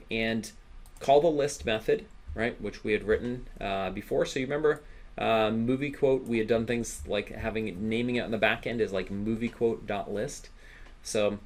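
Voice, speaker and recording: Male coding instructor, everyday mid pitch with gravelly creak, informal and halting screencast delivery. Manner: halting, with repeated words and tag questions. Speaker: programming instructor.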